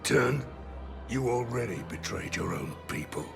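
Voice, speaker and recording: Gravelly, hard-bitten mercenary. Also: male voice, mid-range voice, animated, unhurried. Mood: menacing. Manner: gruff, blunt, swearing. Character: gruff, hard-bitten male mercenary, menacing and sardonic. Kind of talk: video game voice acting. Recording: music behind the voice.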